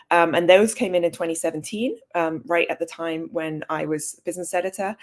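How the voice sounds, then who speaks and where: Wry British-accented woman, slightly low voice. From Switzerland. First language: German. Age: 30s. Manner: wry, self-deprecating. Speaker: journalist and author, interview guest. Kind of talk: conversational career storytelling.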